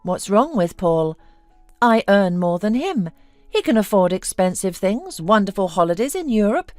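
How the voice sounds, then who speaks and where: Casting: female narrator as scornful, domineering businesswoman wife for audio story, theatrical, swooping pitch, dry close sound. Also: sing-song, moderate pace, darkish tone, no room sound, faint music behind, lightly acted. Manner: mocking, theatrical, exclamatory.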